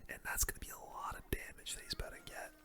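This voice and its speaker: A gamer giving ASMR game commentary in a breathy, unvoiced whisper, with casual slang. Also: quick pace, sharp tone. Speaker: gaming video creator.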